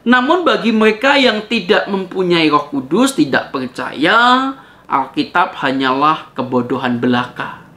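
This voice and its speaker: A man's voice: high voice, sing-song, measured pace, sharp tone. A man delivering a preachy rebuttal monologue to viewers, emphatic and challenging, with rhetorical questions.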